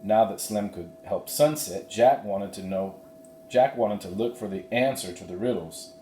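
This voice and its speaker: A man's voice: fairly low pitch, normal speed, mellow, a little hiss or hum. A man, a teacher, reading a children's book aloud expressively with casual asides. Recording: roomy, echoey sound.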